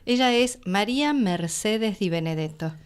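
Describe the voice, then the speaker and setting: A woman with an Argentine accent co-hosting a lively, casual chat in a radio studio. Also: mid-range voice, unhurried, smooth. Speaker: radio co-host.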